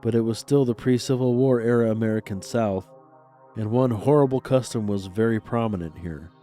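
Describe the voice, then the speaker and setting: A man's voice: mid-range voice, moderate pace, warm tone, faint music behind. A congested, stuffed-up man hosting a paranormal podcast, reading scripted narration with playfully spooky, theatrical patter. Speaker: podcast host.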